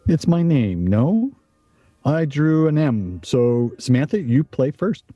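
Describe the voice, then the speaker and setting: An actor playing an easygoing, dryly blunt friend at a game night in a comedic radio play. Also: male voice, a little high, very animated, slow pace, no room sound, lo-fi sound, exaggerated voice. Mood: matter-of-fact. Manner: matter-of-fact, dryly blunt.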